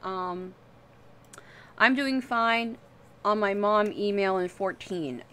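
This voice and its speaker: A woman with a very bright, forward voice hosts a casual livestream. She rambles in an off-the-cuff, self-deprecating way and swears freely as she chats. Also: mid-range voice, takes it slowly, clear, resonant tone. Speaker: livestream host. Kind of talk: casual livestream chat while drawing.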